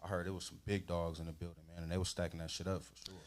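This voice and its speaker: A man's voice: sits low, little pitch movement, racing, a little hiss or hum. A man who breeds dogs, talking in a casual livestream chat, laid-back and slangy. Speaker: dog breeder guest.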